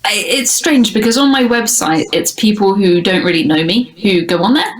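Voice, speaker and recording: A woman's voice: mid-range voice, animated, moderate pace, rough recording. A woman, a guest in a casual interview who talks candidly and laughs often. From United Kingdom. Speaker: guest, cruise YouTuber.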